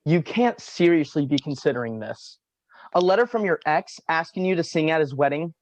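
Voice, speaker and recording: A man reading a quiet, practical young manager in a virtual staged reading, with a dry, exasperated delivery. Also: fairly high pitch, lively intonation, normal speed, no room sound, natural voice, rough recording. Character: quiet, practical male manager in his twenties. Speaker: also reads stage directions. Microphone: video call.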